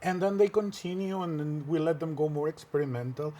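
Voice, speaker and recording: A man whose first language is Spanish, a filmmaker and workshop teacher, answering interview questions earnestly with frequent pauses and restarts in a TV studio. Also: a little high, dark tone.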